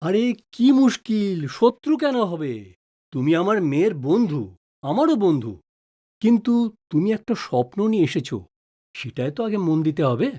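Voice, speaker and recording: A man's voice: sits high, very animated, normal speed, dark tone. A man playing a patient, easily flustered middle-aged father who is wrongly accused in an audio drama. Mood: gently placating. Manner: stammers and repeats words when flustered. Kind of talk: Bengali audio drama acting.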